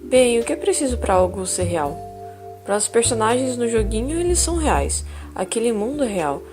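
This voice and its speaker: A woman playing a calm female therapist in an audio drama, gently joking with her patient, with clear music underneath. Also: on the high side, normal speed.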